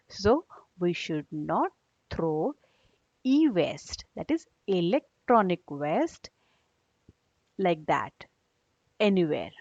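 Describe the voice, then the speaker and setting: A woman teacher takes a young class through a read-aloud lesson at a slow, measured pace. She restates terms simply and checks understanding with short questions. The recording is dull and low in fidelity. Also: a little mellow, no room sound.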